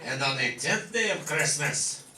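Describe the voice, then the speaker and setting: A man's voice: a little high, animated, measured pace, heavily acted. A man playing the narrator who announces each part of an amateur comic radio play. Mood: announcing. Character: announcer of a comic Christmas countdown tale. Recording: roomy, distant mic, loud crowd behind.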